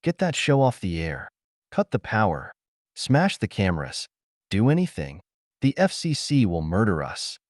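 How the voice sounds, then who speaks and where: A pompous executive from an audiobook story, played by a man, blusters and panics easily. His pitch swings widely and he talks in excitable exclamations, recorded close and dry. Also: moderate pace, no echo. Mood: panicked, roaring.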